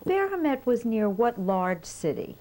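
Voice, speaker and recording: Female interviewer, measured and methodical, mellow voice. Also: a little high, sing-song. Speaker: oral history interviewer. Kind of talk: structured interview questions.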